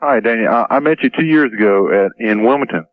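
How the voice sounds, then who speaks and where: A man's voice: medium pitch, quick pace. A man from North Carolina calling a radio show, chatting casually with some hesitation. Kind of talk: casual chat. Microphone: phone call to radio show. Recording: dull lo-fi caller audio on radio.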